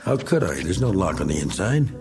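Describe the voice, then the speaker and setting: An actor playing a cynical, hardened American war veteran in a radio drama, gruff and sardonic. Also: male voice, low-pitched, big pitch swings, a little fast, over music. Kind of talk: dramatic radio play acting.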